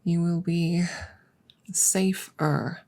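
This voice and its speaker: A woman playing a detached, formal alien scientist in an ASMR audio roleplay, speaking in a measured, breathy way that slips into whispers. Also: on the low side, steady tone, mellow. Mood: calm, politely concerned.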